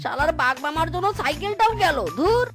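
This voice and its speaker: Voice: extremely high pitch, expressive, a little fast. An actor voicing a mischievous little fox in a cartoon, exclamatory and taunting with gleeful prankster energy. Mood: indignant, amazed. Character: mischievous, cheeky little fox who plays vengeful pranks. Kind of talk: cartoon character acting. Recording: music behind the voice.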